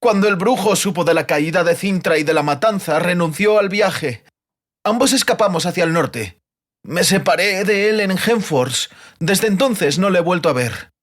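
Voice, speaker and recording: A man's voice: high-pitched, animated, moderate pace, a bit bright. A man voicing a terrified captive bard in an audiobook, breathless and whimpering as he pleads and rambles through frantic denials. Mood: frightened insisting.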